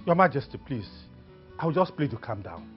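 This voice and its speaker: An actor playing a humble palace adviser who begs the king to calm down. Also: medium pitch, fairly quick, soft background music, close to an everyday voice. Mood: pleading. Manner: pleading, deferential. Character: humble royal adviser, calming. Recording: dull lo-fi audio.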